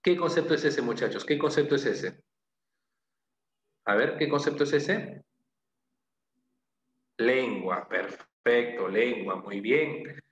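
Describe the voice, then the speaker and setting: A man's voice: medium pitch, takes it slowly, long pauses. Male teacher, exam-prep class, question-and-answer delivery with frequent tag questions; dull recording with faint background noise. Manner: questioning, repeats answers and confirms them with short praise and tag questions. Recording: echoey room, dull lo-fi sound, faint noise.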